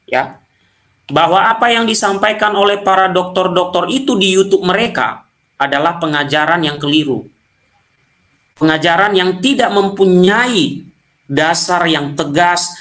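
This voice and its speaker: A man with a Nias accent from Indonesia giving a combative apologetics talk straight to his viewers, slowing down to press his points. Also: high voice, fairly bright tone. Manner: combative, addresses viewers directly, slows to stress points. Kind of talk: preaching-style video lecture to viewers.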